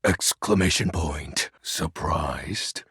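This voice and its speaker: An actor voicing a pompous, melodramatic self-styled emperor of darkness in a video game, with archaic diction and a gravelly, husky voice that can drop to a breathy whisper. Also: male voice, rumbling low, slow pace, fairly bright tone, no room sound, studio quality, heavily acted. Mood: grandiose, ominous. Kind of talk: video game character voice acting.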